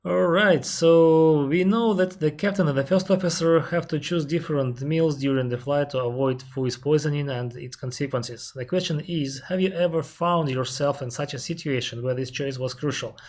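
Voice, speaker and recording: A man with a Russian accent, an airline captain on a live stream, reading out viewer questions and answering them carefully, often pausing with "uh" and restarting his sentences. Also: a little high, darkish tone. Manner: careful, explanatory, pauses with frequent "uh" and restarts; reads viewer questions aloud, then answers at length.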